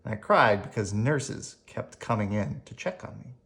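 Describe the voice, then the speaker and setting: A storyteller reading a children's picture book aloud in a gentle bedtime manner, adding spoken asides along the way. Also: mid-range voice, moderate pace. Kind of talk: expressive read-aloud with ad-libbed asides.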